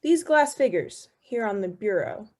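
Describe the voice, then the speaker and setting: A woman's voice: mid-range voice, big pitch swings, moderate pace, a little mellow. A young woman playing a suspicious male police inspector in a radio play, asking clipped, methodical questions in a formal way. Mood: formal, probing. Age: young adult. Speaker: student actor.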